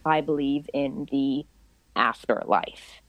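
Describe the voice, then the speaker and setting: A woman playing a solemn, literal-minded spiritual client in an audio drama, speaking formally and earnestly with deliberate pauses. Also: very deep voice, no room sound, rough recording.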